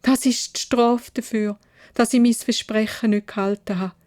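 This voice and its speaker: A woman voicing a tender, distraught young woman in love in a Swiss German fairy tale, pleading emotionally in a breathy voice that drops into whispers. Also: a little high, steady tone, moderate pace, darkish tone, natural voice. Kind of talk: storybook character voice.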